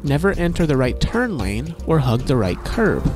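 A man reading a scripted instructional safety narration in an even, instructive manner. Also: mid-range voice, normal speed, music in the background. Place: voice-over over animation with background music.

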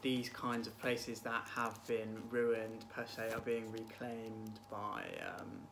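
A man's voice: on the low side, completely flat delivery, slow pace, a bit bright. Hesitant young man, noisy recording. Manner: hesitant, thoughtful, trailing phrasing. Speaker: book vlog guest, PhD student. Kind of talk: casual chat about books. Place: small room at home, indoors. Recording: clearly noisy home room recording.

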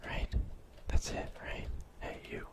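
A young man whispering casual, gently chatty ASMR game commentary in a soft, breathy voice. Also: takes it slowly. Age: young adult. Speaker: gaming ASMR video host. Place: indoor room at home.

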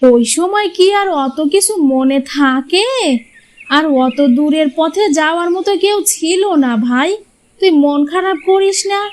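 A high-voiced, grumbling village matron, acted by a woman in a cartoon moral tale. She is the bitter elder co-wife, full of self-pity, complaining and brushing others off. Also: measured pace, slight background noise. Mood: dismissive. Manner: complaining, dismissive.

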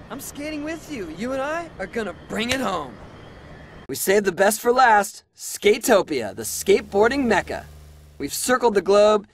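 High-voiced, confident American skateboarder. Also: male voice, full character voice. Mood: rallying. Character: confident, upbeat male pro skateboarder team leader. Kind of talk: video game cutscene acting.

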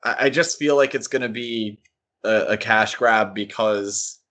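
A man's voice: fairly low pitch, a little slow, bright, forceful tone. A man co-hosting a podcast, giving critical opinions in a casual discussion of comics. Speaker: podcast co-host.

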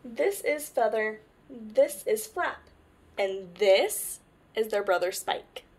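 A young woman hosting storytime, reading a children's picture book aloud with animated, deliberate phrasing. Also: a little high, slightly rough. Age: young adult. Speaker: storytime host.

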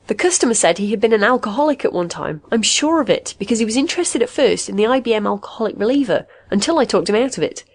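A woman voicing an eager, excitable man in an audiobook, speaking emphatically. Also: a little high, quick pace, hardly any pauses, pitched above the performer's own voice.

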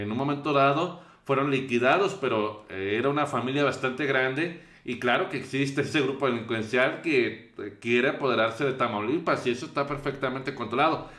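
A man's voice: medium pitch, a bit bright. Young Mexican man commenting, roomy echo. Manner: hesitant, many fillers. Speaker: show host. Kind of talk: commentary over news clips. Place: small bedroom, indoors.

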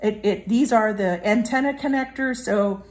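Female reviewer, mid-pitched, casual demonstration style, measured and explanatory. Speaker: product reviewer. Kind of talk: casual hands-on product demonstration.

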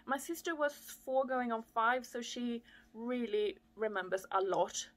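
A woman's voice: on the high side, measured pace. A woman in her thirties from Chile, talking about her life in a reflective way, with many fillers, in a living room. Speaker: vlogger. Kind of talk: casual personal talk to camera. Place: living room with fireplace, indoors. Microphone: camera on a desk at close range.

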